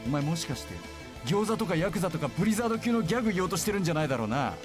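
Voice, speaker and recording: An actor playing a brash, cocky young brawler in a visual novel, with rough, slangy, taunting speech. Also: male voice, high voice, lively intonation, very fast. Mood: teasing, irritated. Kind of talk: Japanese visual novel voice acting. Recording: music behind the voice.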